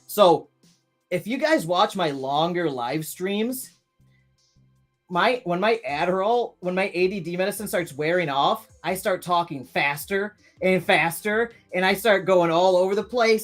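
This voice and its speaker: A man's voice: high-pitched, measured pace, a bit bright, faint music behind. A man in his thirties hosting a live stream, chatting casually and rambling with many restarts while reading viewer comments. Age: 30s.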